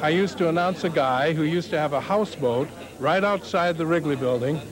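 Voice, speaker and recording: An elderly man in his seventies recalls his announcing days in an interview. His voice is fairly high, with a clearly audible restaurant crowd around him. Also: brisk. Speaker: veteran radio announcer interviewee. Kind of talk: reminiscing interview talk. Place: busy restaurant. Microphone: handheld interview microphone. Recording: clear crowd noise behind.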